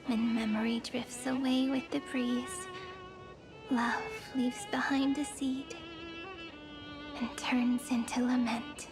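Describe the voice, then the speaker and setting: A gentle, wistful young woman in a game, her high voice breathy and often sinking into a whisper. She speaks extremely slowly and poetically, as if fading into sleep, with prominent music behind her. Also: little pitch movement, poor audio. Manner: breathy, hushed, drifting into whispers. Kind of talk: game cutscene voice acting, poetic monologue. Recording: voice under loud string music.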